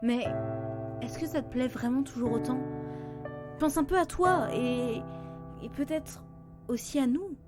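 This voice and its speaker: Anxious girlfriend played by a woman for audio drama: high voice, emotional, pleading, music behind. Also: measured pace, long pauses. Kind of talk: French audio drama acting.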